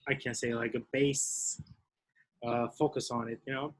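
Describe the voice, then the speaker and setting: A young man with a Turkish accent talks over a video call, his English halting as he hunts for the right words. His pitch sits in a middling range for a man. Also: little pitch movement. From Turkey. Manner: halting, searching for words in a second language. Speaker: DJ and music producer.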